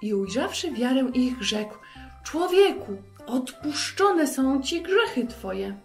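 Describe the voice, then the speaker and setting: A woman's voice: high voice, big pitch swings, slow pace, soft background music. A woman in her thirties presenting a children's Bible show, reading scripture expressively and speaking to young listeners.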